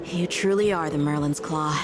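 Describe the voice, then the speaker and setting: A woman's voice: deep voice, normal speed, music in the background, natural voice. A woman playing a small, earnest young boy swordsman in a game, speaking formally and urgently. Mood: indignant. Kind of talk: dramatic game voice acting.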